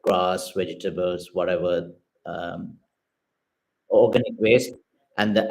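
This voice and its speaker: A man from Sri Lanka with a slightly low-pitched voice talks through an interview in an easygoing way. He hesitates and restarts often, filling gaps with ums as he reaches for the right word. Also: rough recording. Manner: hesitant, many fillers and restarts while searching for words. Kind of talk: casual interview conversation.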